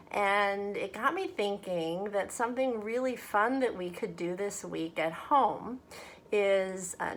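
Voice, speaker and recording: A woman from a church talking to children and reading a storybook aloud in a deliberate, expressive way. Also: mid-range voice. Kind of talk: children's read-aloud and friendly talk to kids.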